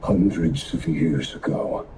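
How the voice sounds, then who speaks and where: An actor playing a solemn, centuries-old noble swordsman in a video game, speaking gravely and reflectively, with breath in the voice at times. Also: extremely low pitch, roomy sound, recorded from a distance, background noise. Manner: slow, grave, reflective, measured. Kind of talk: dramatic video game story dialogue.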